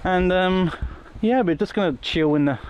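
A man's voice: high-pitched, normal speed, a little mellow. A man hosting a casual walking vlog outdoors in a dry, tongue-in-cheek way. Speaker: vlog host. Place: outdoors on village roads. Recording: outdoor street noise, passing vehicles.

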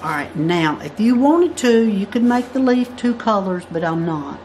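A woman's voice: mid-range voice, lively intonation, moderate pace, darkish tone, a little hiss or hum. A woman teaching a pattern-drawing tutorial, chatting through each step as she works at a table. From United States. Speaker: craft instructor. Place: indoors at a work table.

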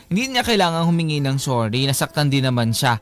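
A man with a Filipino accent co-hosting a casual radio show, playful, emphatic and quick to agree. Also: fairly high pitch, sing-song. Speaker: radio co-host. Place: radio studio.

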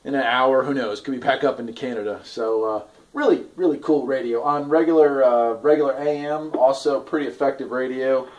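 A man in his forties talking casually and haltingly in an off-the-cuff video review, recorded in a garage on a camera at arm's length. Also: mid-range voice, fairly even intonation, dull audio. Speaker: product reviewer, farm owner. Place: garage, indoors.

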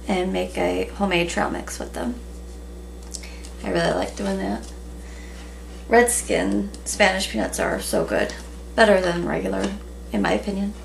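A woman in her thirties chatting casually in a relaxed way with many ums, recorded at home on a camera at a table. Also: medium pitch, creaky. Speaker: vlogger. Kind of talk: casual haul video chat. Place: small room at home, indoors. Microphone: camera in front at table. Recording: noisy home webcam recording.

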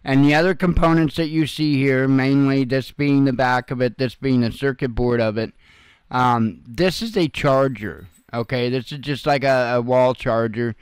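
A man's voice: medium pitch, moderate pace, clear, resonant tone, no room sound. A man hosting informal, unscripted news commentary in a blunt, folksy way with some salty language. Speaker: host of an online news commentary channel.

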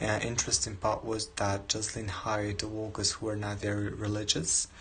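Flat, low-voiced young man presenting. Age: young adult. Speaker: student presenter. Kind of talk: informal prepared presentation. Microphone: phone at arm's length. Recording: faint background noise.

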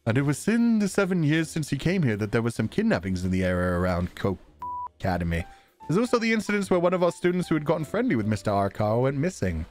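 A man's voice: medium pitch, very animated, quick pace, a little mellow, faint music behind. A man livestreaming a game, playfully commenting and reading dialogue aloud with a theatrical, joking manner. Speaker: streamer.

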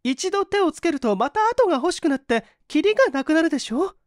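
A woman's voice: high-pitched, very animated, quick pace, dry recording, clean studio sound, pitched above the performer's own voice. A woman voicing a polite, principled, hungry young woman with emphatic, old-fashioned feminine speech in a dramatised story reading. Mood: anguished, pleading.

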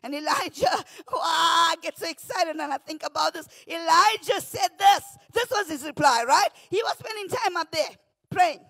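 A woman pastor with a South African accent, preaching a morning devotion from a church pulpit into a handheld mic, often shouting, then dropping to a hush. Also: very high voice, animated, normal speed, creaky, dry recording. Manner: fervent, often shouting, swings from loud to hushed. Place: church stage behind a pulpit. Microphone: handheld microphone.

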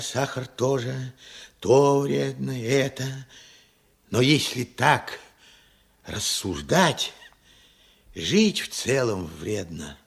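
Radio play: forgetful, wistful man in his late seventies, gravelly, slow, searching delivery. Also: mid-range voice, exaggerated voice. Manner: halting, musing, swinging from murmurs to outbursts. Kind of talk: Soviet radio play acting.

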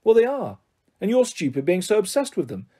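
A woman's voice: fairly low pitch, expressive, fairly quick, dark tone, slightly rough, pitched above the performer's own voice. A woman voicing an anxious, snappish but loving mother in a storybook. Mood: angry.

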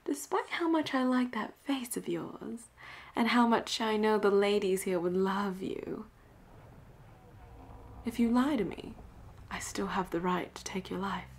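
A woman voicing a flirtatious, teasing, commanding pirate captain in an intimate audio roleplay, often dropping into breathy whispers. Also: mid-range voice, a little slow. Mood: threatening.

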